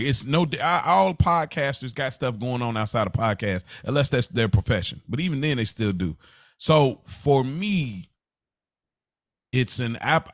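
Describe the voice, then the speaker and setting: A man's voice: mid-range voice, no room sound, studio quality. A man co-hosting a podcast in casual, reflective talk, earnest and emphatic, with colloquial phrasing. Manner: earnest, emphatic, colloquial, often says "bro". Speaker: podcast co-host.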